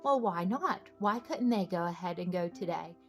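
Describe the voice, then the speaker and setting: A woman reading a children's storybook aloud in an encouraging way, pausing to ask young listeners simple questions. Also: fairly low pitch, animated, faint music behind. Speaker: children's book read-aloud host.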